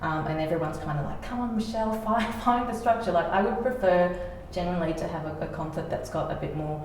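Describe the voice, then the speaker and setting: A woman's voice: fairly low pitch, fast, darkish tone. Woman, distant in an echoey room. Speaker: playwright being interviewed. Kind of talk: reflective interview talk. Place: reverberant indoor room. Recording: very echoey room, distant mic, faint noise.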